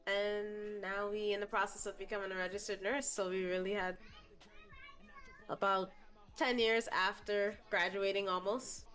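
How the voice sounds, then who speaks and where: A woman's voice: mid-range voice, little pitch movement, moderate pace, fairly bright tone, soft background music. A young woman from the Bahamas, a streamer guest chatting casually in an interview, laughing often and swearing freely.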